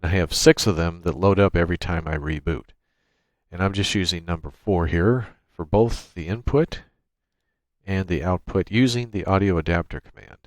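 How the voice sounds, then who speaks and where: A man presenting an informal software tutorial, explaining things step by step into a USB microphone. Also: low voice, normal speed, dry recording. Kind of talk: informal software screencast walkthrough. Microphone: USB microphone through a noise gate.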